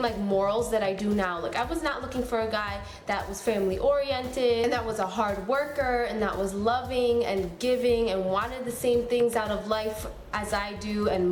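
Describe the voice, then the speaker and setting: A woman's voice: medium pitch, roomy sound. A young woman in her twenties talking to camera as a beauty YouTuber at home, in a casual, chatty way full of "um" and "like". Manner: chatty, many fillers like "um" and "like". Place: room at home.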